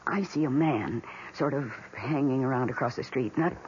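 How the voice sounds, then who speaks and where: A woman's voice: very deep voice, moderate pace, a little mellow. An actress playing a gushing, eccentric housewife in her thirties, speaking hesitantly in a radio drama. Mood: hesitant, confiding. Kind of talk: 1970s radio drama acting. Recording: dull, noisy old radio broadcast.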